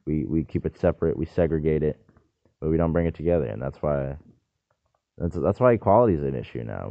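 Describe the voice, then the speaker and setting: A man's voice: rumbling low, gravelly, dry recording. A man rambling through a loose, jokey solo monologue, wandering between topics and cutting himself off mid-thought. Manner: meandering, self-interrupting, jokey asides. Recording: dry, tinny phone-like sound.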